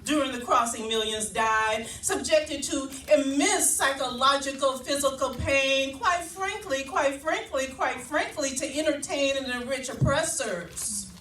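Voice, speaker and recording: A woman spoken word poet with a loud voice performing live, rhythmic and emphatic, slowing down to lean on key phrases. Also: high voice. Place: event hall at a labor office. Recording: echoey hall, voice heard from a distance.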